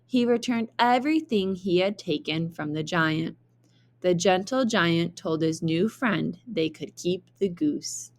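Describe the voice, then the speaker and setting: A woman narrates a children's storybook in the manner of a teacher. Her voice is slightly low, and she reads very slowly and deliberately, with expressive emphasis. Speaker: teacher reading aloud.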